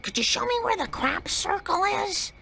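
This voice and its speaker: A woman voicing a worried, doting middle-aged cartoon mother, raspy and fretful, in an animated video game. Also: extremely high pitch, normal speed, a little hiss or hum, exaggerated voice. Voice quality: raspy, gravelly.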